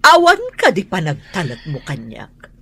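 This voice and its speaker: An actress with an Ilocano accent playing a haughty, sharp-tongued wealthy wife in a radio drama. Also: female voice, fairly low pitch, huge pitch swings, normal speed, breathy edge, full character voice. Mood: accusing.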